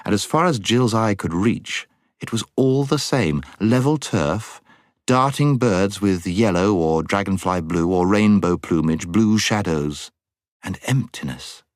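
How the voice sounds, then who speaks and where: A man narrating a storybook as an audiobook reader, with dramatic, measured phrasing. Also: on the low side, dry recording, studio quality.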